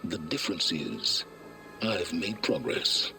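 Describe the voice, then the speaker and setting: Pompous scheming scholar, very animated. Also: male voice, low voice, creaky, over music, exaggerated voice. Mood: smug. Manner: pompous, theatrical, deliberate emphasis. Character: arrogant, condescending, scheming older male scholar. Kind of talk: video game cutscene acting.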